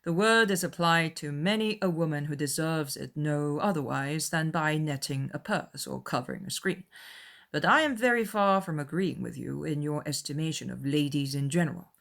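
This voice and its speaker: A woman voicing a proud, grave young gentleman with formal, measured speech in a period novel. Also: low-pitched, lively intonation, creaky, exaggerated voice. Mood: reserved, superior. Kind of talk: dramatized period novel audiobook.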